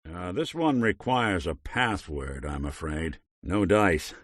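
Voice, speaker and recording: A man's voice: sits low, big pitch swings, slow pace, no echo, heavily acted. An actor voicing a world-weary male robot detective in a game, talking in dry, hard-boiled wisecracks. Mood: reflective. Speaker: game companion character. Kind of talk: video game voice acting.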